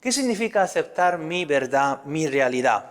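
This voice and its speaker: Spanish priest preaching in a reverberant hall. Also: male voice, fairly high pitch, big pitch swings, normal speed. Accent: Spanish (Spain). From Spain. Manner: earnest teaching tone with rhetorical questions. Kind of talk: sermon.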